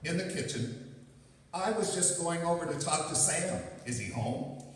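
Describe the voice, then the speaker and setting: A man's voice: fairly high pitch, lively intonation, moderate pace, a little hiss or hum, natural voice. Man acting an earnest husband in echoey hall. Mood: casual. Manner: earnest, declamatory, blustering. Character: earnest, excitable husband with political ambitions. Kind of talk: stage radio play acting. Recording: very echoey hall, far from mic.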